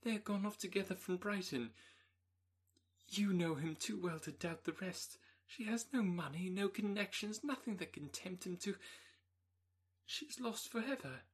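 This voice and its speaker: Male reader doing a frantic young lady, storybook heroine, pitched way up, husky. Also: normal speed, hardly any pauses. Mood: distraught. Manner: high, breathless, pleading delivery. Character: distraught young woman, impulsive and anxious.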